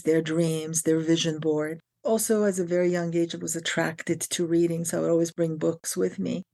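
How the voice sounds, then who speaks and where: A middle-aged woman, her voice sitting a little low. She talks in an articulate, emphatic way, stacking phrase upon phrase as she explains her craft on a podcast. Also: creaky, warm tone. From France. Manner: articulate and emphatic, builds long lists of phrases. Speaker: guest, acting teacher and film director. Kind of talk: podcast interview.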